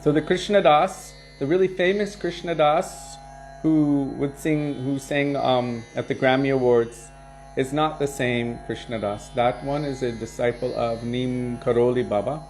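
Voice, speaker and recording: Relaxed man telling devotional stories. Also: medium pitch, unhurried, faint music behind. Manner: relaxed, anecdotal, chuckles now and then. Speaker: kirtan leader and devotional teacher. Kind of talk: casual devotional talk answering viewer questions.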